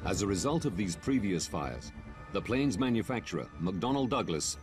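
A man narrates a documentary in a measured, grave way, his low voice moving through a lively range. Music plays clearly underneath him. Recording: music bed behind narration.

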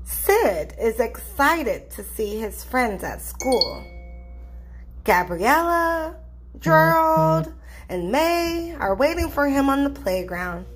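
A woman reading a children's storybook aloud in an expressive, deliberate narrating style. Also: high voice, slight background noise.

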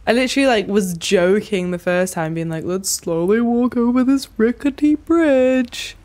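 A woman's voice: a little high, normal speed, a little mellow. A young woman giving casual, playful, chatty commentary while she plays a video game. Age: young adult. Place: small indoor room at home.

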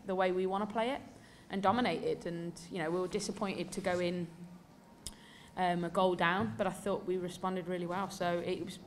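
English female coach in her 30s, low-pitched, candid and emphatic at a press desk mic, faint background hiss. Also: fairly even intonation, moderate pace. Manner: candid, emphatic, many fillers. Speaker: football coach. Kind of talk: post-match press conference answers. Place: indoor press room before a sponsor backdrop.

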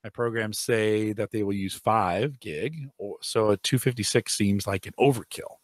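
A middle-aged man hosting a casual tech podcast, hoarse and raspy from allergies, rambling with fillers and restarts, on a boom-arm studio mic in a home studio. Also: moderate pace, dry recording. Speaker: podcast host. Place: home studio room with shelves.

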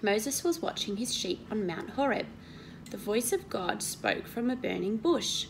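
Woman reading a children's story, creaky edges. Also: medium pitch, measured pace, noisy background. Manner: expressive, teacherly, asks the children questions. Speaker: Sunday school story reader. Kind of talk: children's storytelling read aloud with explanations. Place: indoor living room at home.

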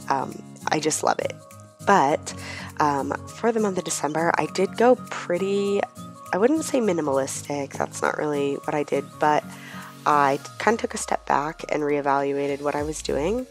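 Hoarse woman chatting over soft music. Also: sits low. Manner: chatty, rambling with many ums. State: hoarse from a cold. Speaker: planner vlogger. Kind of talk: casual voice-over chat.

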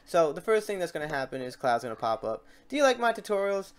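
A man hosting a software tutorial, talking casually and thinking aloud as he works through steps and mistakes. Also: on the high side, sing-song, quick pace. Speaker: tutorial host.

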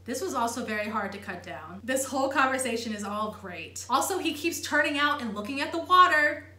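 A young woman with a slightly hoarse voice gives an expressive fan commentary on a cartoon, talking with her hands. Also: fairly high pitch, fairly quick, echoey room, faint music behind. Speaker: vlogger reviewing a cartoon. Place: living room, seated on a sofa.

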